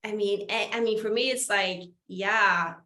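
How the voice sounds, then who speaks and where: A bright, forceful female voice with a Swedish accent comes through in an echoey room. She hosts in a casual, expressive way, often interrupting herself mid-thought. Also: mid-range voice, unhurried. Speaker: interview host. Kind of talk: casual chat.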